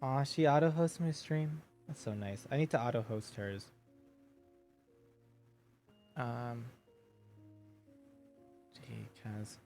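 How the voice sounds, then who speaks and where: A deep-voiced man talks casually and jokingly to his viewers from a home streaming setup. A soft bed of game music sits low behind him. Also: slow pace, long pauses. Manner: chatty, joking, reads viewer messages aloud.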